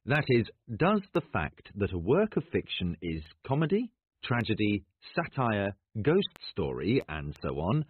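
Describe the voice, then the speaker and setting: A man speaking in the measured, formal style of an academic lecture. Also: on the low side, husky, no room sound. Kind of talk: academic lecture and question, clearly delivered. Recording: thin phone-like audio, dry.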